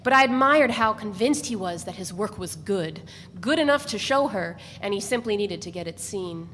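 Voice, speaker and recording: A woman's voice: mid-range voice, animated, normal speed, a bit bright. A woman giving a dramatic reading of a novel as a first-person narrator. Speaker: reader of a novel. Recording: echoey hall, faint background noise.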